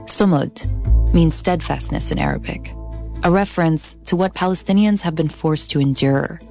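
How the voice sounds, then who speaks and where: Woman in her 30s, low voice, careful scripted podcast narration, bit of music under it. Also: gravelly, mellow. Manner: measured, deliberate, slows on key phrases.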